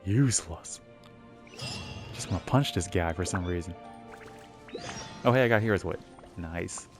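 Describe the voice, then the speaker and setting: A woman's voice: very deep voice, measured pace, darkish tone. A woman streamer giving casual, slangy reactions while she plays a video game. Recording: game music behind the voice.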